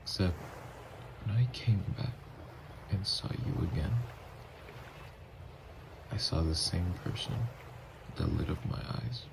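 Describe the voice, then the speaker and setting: Male actor as lovesick tormented vampire, deep voice, halting hushed confession, intimate ASMR audio drama, noticeable background noise. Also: creaky, exaggerated voice. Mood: tender, wistful. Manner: slow, halting, hushed confession with long pauses.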